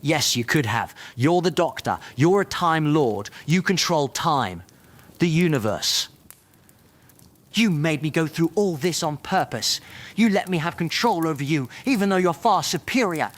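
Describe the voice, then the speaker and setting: An actor playing a bitter, betrayed wizard in an audio drama, emotional and reproachful. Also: male voice, fairly high pitch, expressive, a little slow, fairly bright tone. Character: bitter, betrayed wizard turned into another man. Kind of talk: fan audio drama acting.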